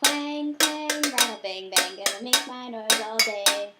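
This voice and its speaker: A woman's voice: on the high side, a little slow, over loud music, lightly acted. A woman playing a small mischievous boy from a children's story, chanting in sing-song on a noisy home recording. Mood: playful, defiant.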